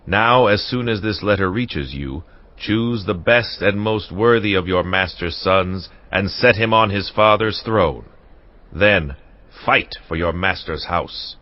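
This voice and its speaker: An actor playing a ruthless, zealous warrior king in a dramatized Bible audiobook, speaking in a slow, imperious, declamatory way. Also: low voice. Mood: stern, commanding. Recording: slightly dull audio, music behind. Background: background music.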